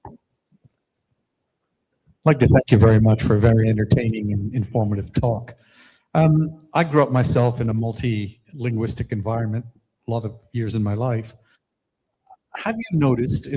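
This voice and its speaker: A man from the audience asking a courteous question, pausing to search for his words. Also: mid-range voice, mellow, low-quality recording.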